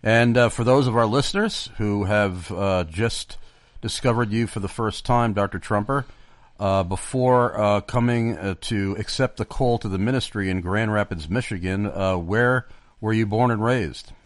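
Man, talk radio host, studio, chatty with fillers, lowish voice, dry sound. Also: moderate pace, no room sound. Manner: loud greeting, then chatty with many fillers. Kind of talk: live radio interview.

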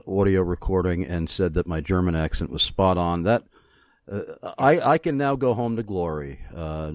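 A male radio host with a low-pitched voice, recorded close and dry. He speaks in a conversational interviewing style, effusive and wordy, often pausing as he wanders into long asides. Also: a little mellow, no echo.

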